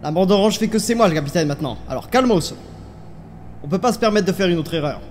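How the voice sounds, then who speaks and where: A man voicing a stern, suspicious astronaut captain who commands and interrogates in an animated fan dub. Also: high voice, fast, a little hiss or hum. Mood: commanding.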